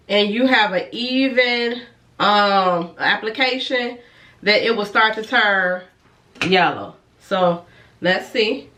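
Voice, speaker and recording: A woman's voice: mid-range voice, pushed and bright. A woman who is a licensed cosmetologist, chatting casually in a rambling, self-correcting way while reviewing skincare, a little congested from a cold. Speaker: licensed cosmetologist, beauty vlogger. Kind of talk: casual product review and demonstration. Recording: echoey room, mic at a distance.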